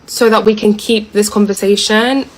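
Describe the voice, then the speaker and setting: A woman's voice: medium pitch, steady tone, moderate pace, poor audio. A woman from Egypt talking casually to her followers in a chatty way, giggling as she goes. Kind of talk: casual talk to camera.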